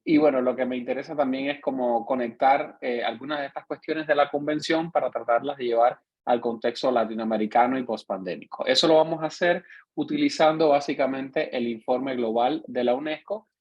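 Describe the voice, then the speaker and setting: Husky Cuban-accented man lecturing. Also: mid-range voice, dull audio. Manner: explanatory, checks in with listeners by tagging questions. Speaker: course instructor. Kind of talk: online lecture.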